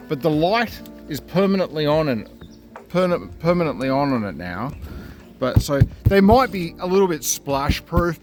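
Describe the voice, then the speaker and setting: A man giving a casual hands-on product review in a plain-spoken, matter-of-fact way. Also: mid-range voice.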